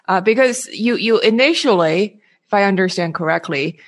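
A woman interviewing a guest in a casual way, halting and rephrasing her questions as she goes. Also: medium pitch, lively intonation.

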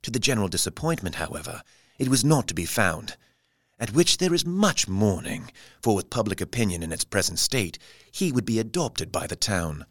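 A man's voice: fairly low pitch, very animated, moderate pace, dry recording, studio quality. A man playing a florid newspaper reporter in an audio drama, telling the story in a formal, theatrical way. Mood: intrigued, florid. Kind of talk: scripted audio drama narration.

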